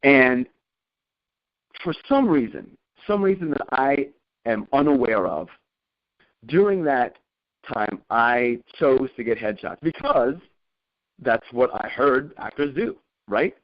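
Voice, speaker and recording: A man's voice: medium pitch, expressive, takes it slowly, long pauses. A man presenting an informal motivational talk as a career coach, telling personal anecdotes, repeating points emphatically and checking in with listeners. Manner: conversational, checks in with listeners with tag questions. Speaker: acting career coach presenting a group call. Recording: dull, lo-fi conference call audio.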